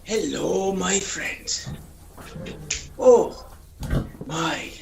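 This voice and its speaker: A man playing a scheming, excitable Irish countryman in a radio play, talking in a colloquial, cajoling way. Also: high-pitched, drawn-out words, vocal fry, noisy background, exaggerated voice. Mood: coaxing, sly. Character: scheming, excitable Irish countryman sneaking about a horse farm. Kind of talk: radio play acting and first-person storytelling.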